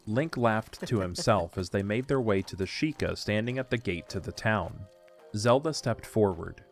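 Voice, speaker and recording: A man's voice: fairly low pitch, lively intonation, normal speed. A man reading scripted narration for an audio drama story, with music behind him.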